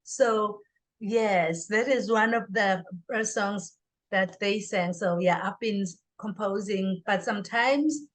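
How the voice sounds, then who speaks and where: A woman's voice: mid-range voice, slow. A middle-aged woman with a southern African accent speaks conversationally as an interview guest, telling personal stories emphatically. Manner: emphatic, acts out her inner dialogue.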